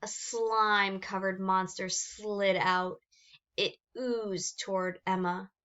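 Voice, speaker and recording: Slow, bright-voiced woman reading a storybook. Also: medium pitch, steady tone, dull audio. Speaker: storybook reader. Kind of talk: expressive children's read-aloud narration.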